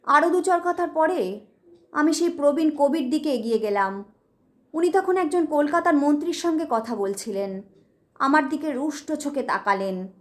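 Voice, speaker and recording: Lady with a really high voice doing dramatic audio story narration. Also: animated, normal speed.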